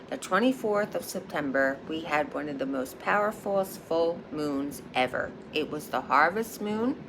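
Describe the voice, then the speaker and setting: A woman from Philadelphia with a Philadelphia accent, talking to camera in a casual vlog as a high priestess, emphatic and repeating her points with a frequent "okay?". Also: gravelly, noisy background. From Philadelphia, United States.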